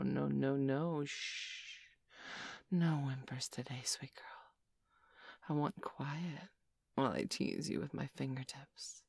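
A woman's voice: low-pitched, gravelly, a little mellow, breathy edge, dry recording, studio quality. A woman performing an intimate roleplay, teasing and commanding, slipping often into whispers.

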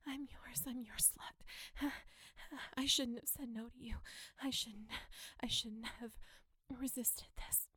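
A woman performing an adult erotic audio roleplay in a breathy, often whispered voice, submissive and pleading. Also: on the high side, steady tone, slow, pushed and bright, dry recording, clean studio sound.

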